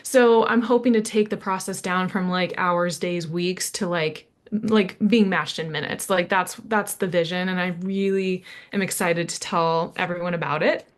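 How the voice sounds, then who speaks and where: A young woman on a video call, pitching her app in a casual chat, eager and full of fillers like "like". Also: fairly even intonation, moderate pace. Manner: eager, filler-heavy, lots of "like".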